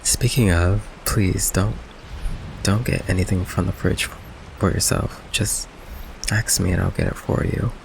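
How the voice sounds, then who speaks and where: Gravelly, low-voiced nervous vampire boyfriend played by a man. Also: steady tone, noisy background. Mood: nervous, evasive. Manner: stammering, halting, nervous chuckles, evasive. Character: nervous, affectionate goth vampire boyfriend, evasive young man. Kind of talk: ASMR roleplay, intimate one-sided conversation. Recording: rain ambience behind close voice.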